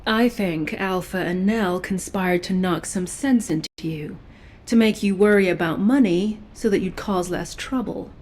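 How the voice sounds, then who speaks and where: An actress playing a stern but quietly caring female special forces agent in video game dialogue, measured, dry and matter-of-fact. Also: medium pitch, close to an everyday voice.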